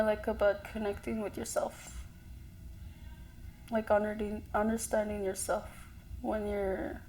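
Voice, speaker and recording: A young woman from a Cree community, sharing a personal story in a soft-spoken, thoughtful way with pauses between phrases. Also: mid-range voice, a little hiss or hum, poor audio. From Cree community in northern Quebec. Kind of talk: reflective personal testimony.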